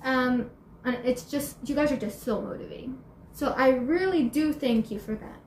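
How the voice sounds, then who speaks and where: Give me a bubbly teenage girl with a high voice rambling through a home vlog, recorded in a roomy space. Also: moderate pace, a little mellow, slight background noise. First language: Spanish and English. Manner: bubbly and chatty, laughs often, many fillers and restarts. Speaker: YouTuber. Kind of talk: casual Q&A vlog. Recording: echoey room, faint ambience.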